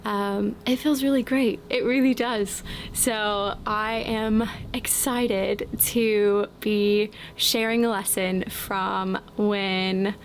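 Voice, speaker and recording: Slow thoughtful woman, late 20s, vlogging outside, lots of ums, faint background hum. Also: medium pitch, fairly even intonation. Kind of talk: reflective casual vlog talk. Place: outdoors, windy.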